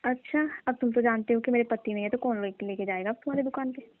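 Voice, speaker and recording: A woman's voice: fairly high pitch, fast, no echo, natural voice. A woman playing a flirtatious, pushy village aunt who coaxes and teases a younger repairman in a comic phone skit. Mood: teasing. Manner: coaxing, teasing, colloquial. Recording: tinny, dry phone-call recording.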